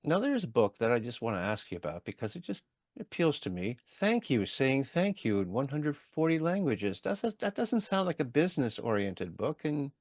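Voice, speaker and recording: A man's voice: mid-range voice, sing-song, normal speed, no room sound, studio quality. A man whose first language is Hungarian, hosting a podcast interview in a reflective, encouraging way. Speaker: podcast host. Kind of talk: casual interview.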